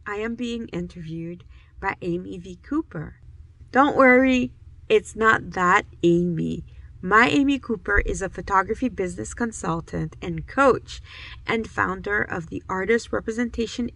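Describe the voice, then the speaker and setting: A woman's voice: mid-range voice, unhurried, creaky, fairly bright tone, low-quality recording. A woman from Haiti who hosts a podcast, speaking in an earnest, effusive way as she introduces the show and tells her story. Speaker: podcast host. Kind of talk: podcast intro and interview answers.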